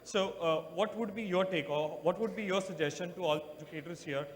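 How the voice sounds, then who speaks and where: A man's voice: sits high, a little fast. A man in the audience asking a long, polite question with many hesitations and fillers. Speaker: audience member, education program organizer. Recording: reverberant hall, faint noise.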